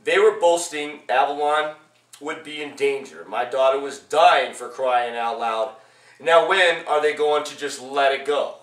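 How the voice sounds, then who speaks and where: A man reading his own personal memoir aloud as its author, in a halting way with repeated phrases. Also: medium pitch, fairly even intonation, fairly bright tone. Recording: echoey room, distant from mic.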